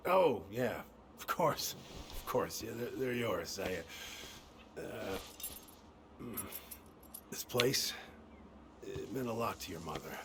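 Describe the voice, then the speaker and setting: An actor playing an awkward, kindly older man in a video game, halting and repeating himself. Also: on the low side, lively intonation, gravelly, noisy background, heavily acted. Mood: wistful, distracted. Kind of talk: naturalistic video game acting.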